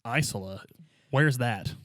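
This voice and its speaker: A man's voice: mid-range voice, slow, a bit bright, no room sound, studio quality. A man playing a tabletop game, chatting and improvising casually in an informal, bantering way. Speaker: tabletop player. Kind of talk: casual improvised roleplay chat.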